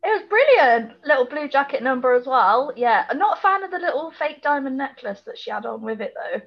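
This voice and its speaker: Chatty british woman on a recap podcast, highish voice, lots of opinions, bit lo-fi. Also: very animated, a little fast. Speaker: podcast co-host. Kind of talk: casual chat, TV episode recap.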